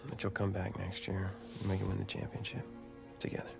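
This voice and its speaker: An actor playing an ailing young football teammate in a video game drama, joking in a casual, deadpan way. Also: male voice, low-pitched, a little fast, over music. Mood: weak, sincere. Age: young adult. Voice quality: very creaky, gravelly.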